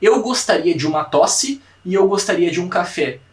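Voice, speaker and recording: A young Brazilian man teaching a language class online, repeating words slowly to drill pronunciation and checking in with his students often. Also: high-pitched, animated, far from the mic. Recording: echoey room, voice from off the mic.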